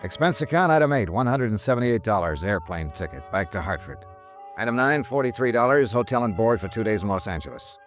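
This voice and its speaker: An actor playing a world-weary insurance investigator in a 1950s radio detective drama, grim and clipped with brooding pauses. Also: male voice, fairly low pitch, very animated. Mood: dry narration. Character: world-weary male insurance investigator, grimly narrating his case. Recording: vintage radio drama with music underneath.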